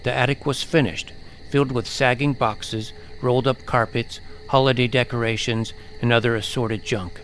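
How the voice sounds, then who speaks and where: A man's voice: medium pitch, steady tone, moderate pace, a bit bright, a little hiss or hum. A man giving a dramatic, scripted first-person narration of a suspense adventure story.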